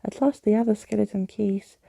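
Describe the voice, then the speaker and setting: Whispery asmr lady reading a bedtime story, super crackly and breathy, soft, right up on the mic. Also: medium pitch, brisk. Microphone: close microphone, dry.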